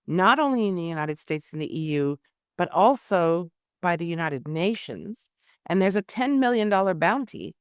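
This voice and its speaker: A middle-aged woman hosting a podcast, speaking in measured, deliberate, emphatic phrases as she introduces and questions. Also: fairly low pitch, no echo, clean studio sound. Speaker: podcast host and interviewer. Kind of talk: scripted introduction and formal interview questions.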